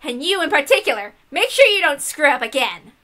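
Woman as a haughty, sharp young lady rival. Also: high voice, sing-song, fairly quick. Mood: vengeful.

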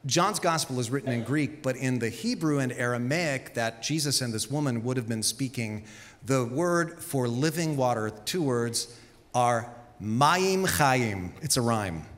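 A man's voice: medium pitch, measured pace. A man in his fifties preaching a sermon on a church stage with a handheld mic, telling stories and acting out quoted voices. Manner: storytelling, animated, acts out quotes. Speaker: pastor. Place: large church stage with audience. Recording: long reverb of a big hall.

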